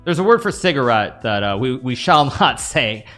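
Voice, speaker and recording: American guy streaming chess, chatting w/ viewers, bright voice, bit of music behind. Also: medium pitch, very animated, normal speed. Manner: thinks aloud while playing, answers chat questions. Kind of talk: casual live-stream commentary.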